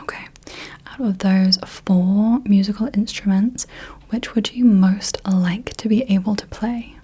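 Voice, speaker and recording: A woman speaking in a soft, breathy whisper as a gentle, encouraging ASMR host leading the listener through little games. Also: medium pitch, steady tone, unhurried.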